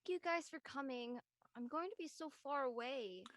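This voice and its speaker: Woman playing a level-headed, higher-voiced college girl. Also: moderate pace, a bit bright, dry recording. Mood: sincere, hopeful. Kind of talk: casual scripted dialogue.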